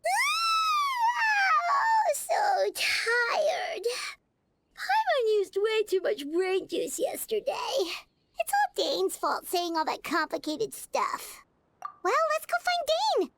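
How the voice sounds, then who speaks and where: A woman voicing a small, excitable fairy girl companion in a video game, squeaky and childlike. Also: takes it slowly, dry recording, heavily acted. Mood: tired, whiny. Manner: refers to herself in third person, exclamatory. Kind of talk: video game character acting.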